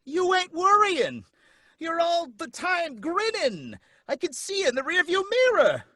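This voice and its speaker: A plain-spoken working-class cabbie, acted by a man, full of slang and suspicion. His voice is bright and bounces up and down a lot. The sound is close and dry. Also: very high-pitched, wildly swooping pitch, unhurried, dry recording, full character voice. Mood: suspicious. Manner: folksy slang, suspicious, blunt. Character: wary blunt male taxi driver, working-class. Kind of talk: live radio drama reading.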